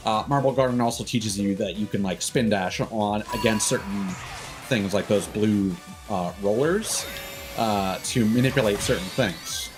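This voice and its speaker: Male game streamer, slightly low-to-middling pitch, casual and opinionated, rambling and swearing freely over prominent background music. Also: measured pace. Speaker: livestreamer.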